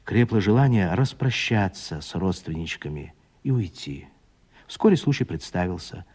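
A man narrating a literary radio play with expressive, scripted storytelling. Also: low voice, normal speed, husky.

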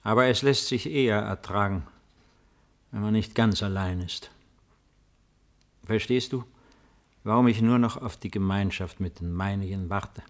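Slow, low-voiced weary old emperor. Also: male voice. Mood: weary, melancholy. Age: older. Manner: solemn, measured, declamatory. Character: weary, melancholy old Roman emperor, commanding yet resigned. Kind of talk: 1950s German radio drama acting.

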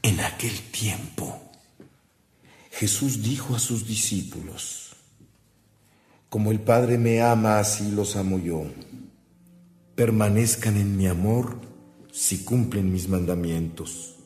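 Radio drama role: devout, wry Mexican priest posing as a peddler, measured pace. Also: male voice, fairly low pitch, a little mellow, soft background music. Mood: solemn, devout. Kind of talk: Spanish-language radio drama acting.

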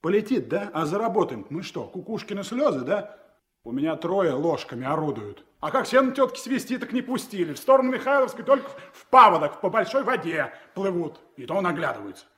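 A high-voiced, grumbling riverboat crewman in an old Soviet radio play. He argues in earthy, colloquial speech, needling with mocking rhetorical questions. The mono recording has a vintage feel. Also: sing-song, a little fast, sharp tone, heavily acted. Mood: indignant, argumentative.

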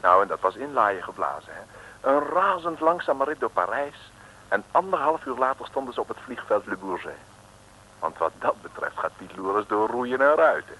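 An actor playing a wry, colloquial storyteller, narrating a 1960s radio comedy. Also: male voice, on the low side, very animated, normal speed, pushed and bright. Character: wry, jaunty male storyteller. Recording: noisy old radio recording.

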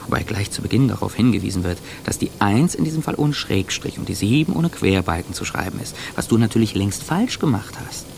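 A man's voice: on the low side, expressive, brisk, vocal fry, few pauses, a little mellow. A man playing a bitter, anxious passenger, delivering a sardonic, contemptuous interior monologue in a radio play. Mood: anxious, seething. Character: bitter, anxious man seething silently on a plane. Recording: faint background ambience noise.

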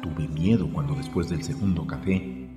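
A man's voice: rumbling low, moderate pace. An actor with a Mexican accent playing an anxious, obsessed man of thirty-two who narrates a radio drama in the first person. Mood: brooding. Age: 30s. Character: obsessed, anxious 32-year-old man narrating his troubled thoughts. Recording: echoing long reverb, music underneath.

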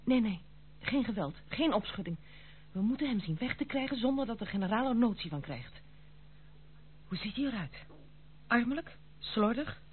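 A woman's voice: on the high side, animated, normal speed, long pauses, lightly acted. An actress in a radio play playing a strong-willed young noblewoman, formal, firm and reproachful. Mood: wary. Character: strong-willed, stern young noblewoman protecting her household. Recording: tinny, phone-like old radio play, slight hum.

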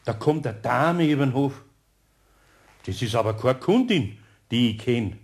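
A man's voice: mid-range voice, lively intonation, a little slow. An actor playing a good-natured older master craftsman with a Bavarian accent and a homely, muttering manner in a children's radio play. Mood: friendly, musing.

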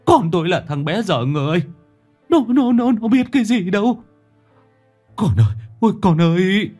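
A shrill, keening old villager, his voice strained thin and high as he sobs and stammers through his grief. He sounds worn-out and frail, swinging wildly in pitch. This is a dark storybook tale read aloud, with faint music under it. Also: very animated, moderate pace. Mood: wailing grief. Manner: sobbing, stammering, crying out. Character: grief-stricken old male village laborer, wailing.

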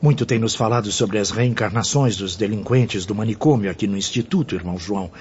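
A man's voice: lowish voice, brisk, a little mellow, slight background noise, close to an everyday voice. A man playing an earnest, inquiring spirit pupil in a radio drama, speaking formally. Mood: earnest, curious. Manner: formal, questioning. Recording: dull lo-fi audio.

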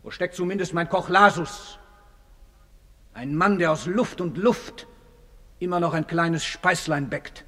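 An actor playing a haughty, vain dead Roman general, pompous and declamatory in a theatrical radio drama manner. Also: male voice, fairly high pitch, measured pace, long pauses, a bit bright. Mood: wistful. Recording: long reverberant hall.

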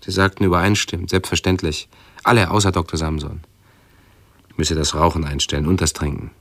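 A fussy, pedantic hypochondriac man in a radio play. His voice is low, creaky and husky, and he speaks haltingly, forever correcting his own words. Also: flat delivery, fairly bright tone, no room sound. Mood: evasive, reassuring.

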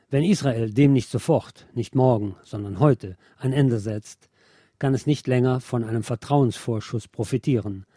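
A man's voice: mid-range voice, mellow, no echo, clean studio sound. A man presenting on radio, reading a scripted commentary in a sober, formal, articulate manner.

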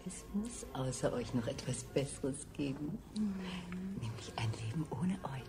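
A defiant, yearning woman in a radio play, her very deep voice swinging widely. She declaims slowly and breathily over music. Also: expressive. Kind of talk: 1970s science fiction radio drama, declamatory.

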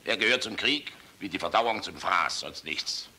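A man's voice: deep voice, expressive, moderate pace, a little hiss or hum, heavily acted. An actor in a German radio play voicing an old, world-weary, passionate general, blustering and fatherly in a rhetorical manner. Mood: grave, scornful. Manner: blustering, rhetorical, swinging from outbursts to hushed musing. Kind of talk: German radio drama acting.

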